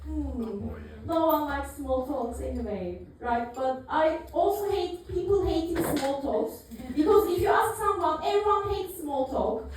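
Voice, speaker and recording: A woman's voice: high voice, expressive, unhurried, few pauses, darkish tone, slight background noise. A woman in her thirties doing a dry, self-mocking stand-up routine, full of fillers and small laughs. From Turkey. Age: 30s. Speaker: stand-up comedian. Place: live comedy venue, audience laughing. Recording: echoey room picked up from far off.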